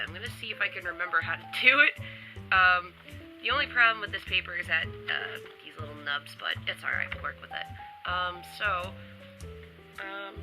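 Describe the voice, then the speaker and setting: A woman's voice: mid-range voice, low-quality recording. A woman vlogging casually at home, chatty and upbeat, a little stuffy from a cold. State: stuffy from a cold, occasional coughing. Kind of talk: casual chat to camera. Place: home in New York City.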